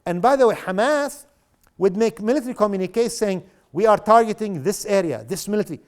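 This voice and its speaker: A man's voice: high-pitched, animated, brisk. A middle-aged man from South Lebanon giving a public lecture in an impassioned, sarcastic way, with rhetorical questions to the audience. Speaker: guest speaker.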